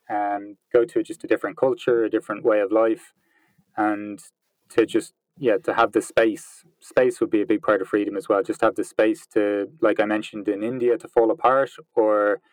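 A man in his late thirties, talking reflectively in an interview, pausing and restarting often as he searches for words. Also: on the low side, no echo, poor audio. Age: 30s. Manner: thoughtful, hesitant, many restarts and fillers. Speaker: interview guest.

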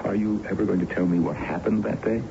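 A man's voice: fairly low pitch, quick pace, vocal fry. An actor playing an ardent, world-weary older man wooing his love in a theatrical radio drama style. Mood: earnest, loving. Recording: muffled, noisy old radio broadcast.

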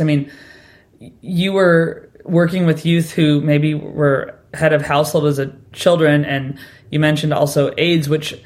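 A man hosting an interview, asking long, wandering questions with soft laughs. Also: fairly high pitch. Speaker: podcast host. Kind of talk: conversational interview.

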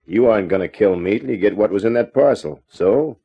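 Low, husky hardboiled private eye. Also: male voice, a little fast. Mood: defiant, cool. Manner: laconic, unflappable. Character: cool, wisecracking hardboiled American private eye. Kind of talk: 1950s radio crime drama, first-person narration and dialogue. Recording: dull old radio recording.

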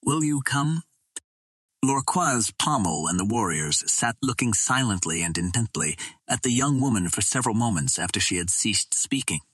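A man narrating an old adventure novel in a measured, theatrical way, as a scripted dramatic reading. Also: fairly low pitch, expressive, a bit bright, slightly rough, no room sound. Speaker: audiobook narrator.

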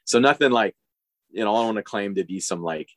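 A man's voice: fairly low pitch, lively intonation, quick pace, a bit bright, no echo, studio quality. A man in his forties, a guest on a podcast, chatting casually and rambling with many fillers and restarts. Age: 40s. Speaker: podcast guest, diver living on a boat. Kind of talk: casual interview chat.

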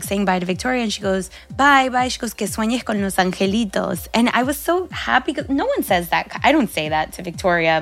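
A woman in her thirties chats easily about family, her voice sitting at an ordinary middle pitch. She answers with quick "yeah"s and "mm-hmm"s and often breaks into laughter between stories. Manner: chatty, laughs often, quick agreeing fillers. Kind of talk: casual chat.

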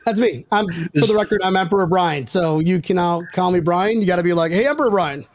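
A man's voice: sits high, fast talker. A man hosting a casual sports podcast with jokey, self-deprecating banter and salty language. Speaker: podcast host.

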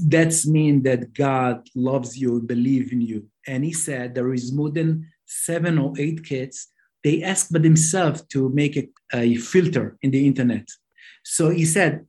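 A man, a rabbi, speaking as a guest, with an of Yiddish and many halting restarts. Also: on the high side, darkish tone. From Israel. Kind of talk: interview answers.

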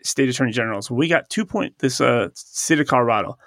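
Gravelly podcast co-host man. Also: medium pitch, lively intonation, brisk, a bit bright, no echo, clean studio sound. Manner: chatty, laughs often, reads ads and quotes aloud. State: a little stuffed up from allergies. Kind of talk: casual chat. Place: recording studio.

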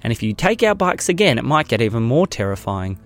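A man's voice: mid-range voice, big pitch swings, a little fast, fairly bright tone, soft background music. A man voicing an earnest, aggrieved little brother in a children's story. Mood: stern.

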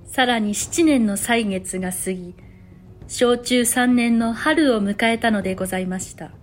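Actress as courtly female chronicler, slightly high voice, very unhurried, polite formal period narration, faint music. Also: lightly acted. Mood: stately composure. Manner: polite, deliberate, formal classical phrasing. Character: courtly, composed female storyteller of a samurai chronicle. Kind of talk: scripted period drama narration.

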